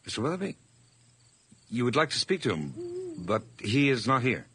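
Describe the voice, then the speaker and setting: A man's voice: medium pitch, big pitch swings, moderate pace, a bit bright, breathy edge, full character voice. An actor playing a nervous, over-polite hired man hiding guilt, stammering and effusive in an old radio horror drama. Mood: evasive, polite.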